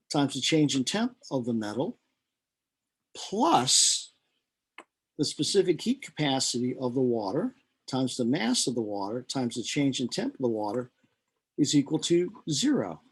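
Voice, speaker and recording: A man giving an informal, deliberate lecture as a chemistry teacher, working through equations step by step. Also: mid-range voice, breathy edge.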